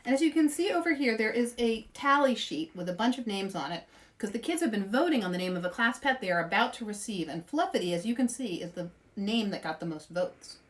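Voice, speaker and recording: A woman hosting story-time for children, reading aloud in a playful, expressive way and chatting with young viewers. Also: medium pitch, a little fast, distant mic. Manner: playful, expressive, asks listeners questions.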